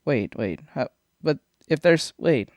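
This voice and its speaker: A man's voice: fairly high pitch, lively intonation, takes it slowly, dry recording. A man streaming casual reaction commentary, rambling and opinionated with lots of swearing and restarts. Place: foam-treated home studio. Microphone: studio microphone.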